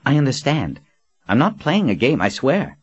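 A man's voice: medium pitch, very animated, moderate pace, slightly rough, no echo, lo-fi sound, voice pushed up. A man voicing a cocky young male assassin, boyish and mocking, with falsely polite, offhand talk. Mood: eager.

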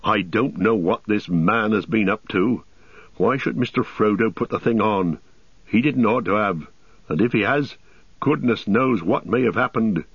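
A man's voice: fairly low pitch, unhurried, slightly rough, dry recording, dull audio. A man voicing a loyal, anxious male hobbit servant with homely, rustic phrasing, for a storybook. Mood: indignant.

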